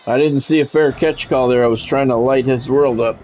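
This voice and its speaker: A man's voice: mid-range voice, steady tone, brisk. A man doing football play-by-play for a video game in short, clipped phrases, with casual, dry and blunt asides. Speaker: sports video game streamer and commentator. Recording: dull, lo-fi mic with faint noise.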